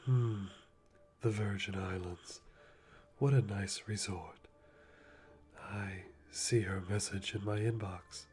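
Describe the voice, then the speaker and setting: A man playing a calm, methodical hitman, musing to himself softly and deliberately with dry amusement in an ASMR roleplay. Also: on the low side. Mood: calm, calculating. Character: calm, methodical male hitman planning a kill. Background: music.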